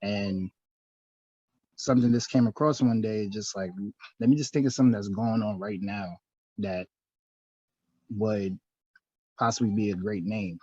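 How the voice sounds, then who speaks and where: A man speaks as an interview guest in a fairly low voice. He talks in a soft, halting way and often laughs between thoughts. The recording is dry and sounds dull. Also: steady tone, slightly rough, dry recording. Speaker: interview guest, clothing designer. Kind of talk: casual interview answers.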